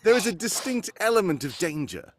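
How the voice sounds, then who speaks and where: A wildly swooping, commanding detective. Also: male voice, high-pitched, sing-song, brisk, no echo. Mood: dry, dismissive. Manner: theatrical, commanding, breaking into ad-libs. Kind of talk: live cold-read parody of 1940s radio drama. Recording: live stage recording with background noise.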